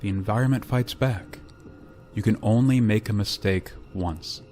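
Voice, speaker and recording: A man playing a grave, reluctant spaceship pilot in a radio drama, speaking in a solemn, halting way. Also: lowish voice, a little mellow, faint music behind. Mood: somber, firm. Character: grave, reluctant male spaceship pilot bearing terrible news. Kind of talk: radio drama acting with narration.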